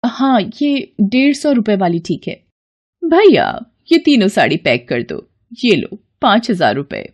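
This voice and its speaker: A woman's voice: mid-range voice, wildly swooping pitch, unhurried, a little mellow, full character voice. A woman playing an ordinary, matter-of-fact female shopper in a moral story. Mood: brisk, matter-of-fact. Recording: faint music bed.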